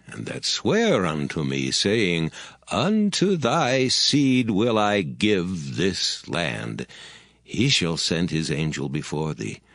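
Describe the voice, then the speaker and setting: A man playing a solemn, aged Hebrew patriarch in a dramatized scripture reading, with gravelly, slow and weighty delivery. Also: low-pitched, fairly bright tone, no room sound, full character voice.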